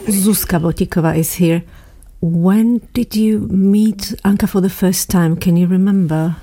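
A woman hosts a studio radio interview. Her voice is somewhat low and notably dark and mellow as she introduces and questions a guest. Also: fairly even intonation, unhurried.

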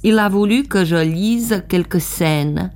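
A woman reading a voice-over translation of an interview in a calm, even way. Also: lowish voice, slow pace.

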